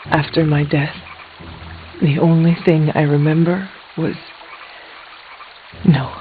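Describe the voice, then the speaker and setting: Solemn risen hero, thin sound. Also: male voice, on the high side, fairly even intonation, slow pace, a little hiss or hum. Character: solemn hero returned from the dead. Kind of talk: video game voice acting. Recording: thin, narrow-band game audio with music.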